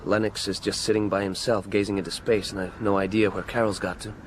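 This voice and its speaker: A man's voice: fairly low pitch, flat delivery, fast, slight background noise, close to an everyday voice, low-quality recording. An actor playing an anxious, earnest young man in a radio drama, hesitant in his delivery, with faint ambience behind him. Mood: tentative.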